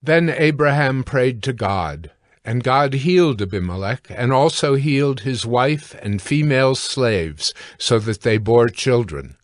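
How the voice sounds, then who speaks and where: A man narrates scripture in a medium-pitched voice. It is a scripted reading in the role of storyteller, recorded dry with little room sound. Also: lively intonation, a little slow, no room sound.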